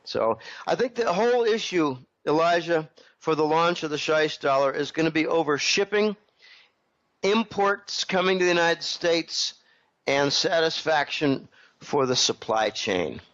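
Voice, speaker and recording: A man giving a rambling interview answer while recovering from a head cold, clearing his throat often. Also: on the high side, animated, unhurried, a bit bright, lo-fi sound. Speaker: interview guest. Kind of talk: casual interview commentary.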